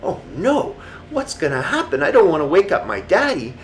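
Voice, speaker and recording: A storyteller voicing a sweet, earnest little boy in a children's bedtime story, with a soft childlike manner. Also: mid-range voice, huge pitch swings, normal speed, echoey room, slight background noise, voice pushed up. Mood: worried.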